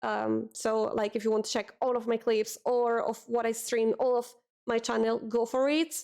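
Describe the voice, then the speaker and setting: A woman's voice: a little high, little pitch movement, moderate pace, gravelly, darkish tone. A young woman with an Italian accent, chatting casually with her stream audience, often repeating words and reading chat messages aloud.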